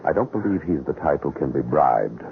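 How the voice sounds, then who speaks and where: A man's voice: a bass voice, expressive, brisk, creaky, heavily acted. An actor playing a cool, ruthless, well-dressed man who speaks in a clipped, authoritative way in a radio drama. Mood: cold. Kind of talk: 1970s radio drama acting. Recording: noisy, narrow-band old radio broadcast.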